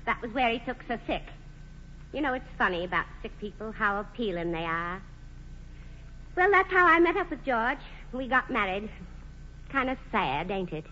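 A high, bright small-town New woman. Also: expressive, moderate pace. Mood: chatty, homespun. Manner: folksy, homely turns of phrase. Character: plain-spoken, folksy small-town New England women. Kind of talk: 1940s radio play acting. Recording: muffled, hissy old radio broadcast.

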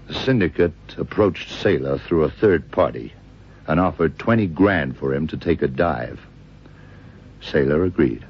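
A man acting a tough, shrewd detective in a radio crime drama, deliberate and hard-boiled, with a deep husky voice. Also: heavily acted. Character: tough, shrewd male detective exposing a killer. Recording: dull, lo-fi old radio recording.

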